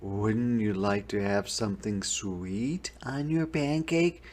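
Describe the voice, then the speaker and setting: A man reading a children's story, voicing a calm mother who gives patient, deliberate instructions. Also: fairly low pitch. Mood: patient, instructing. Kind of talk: children's read-aloud.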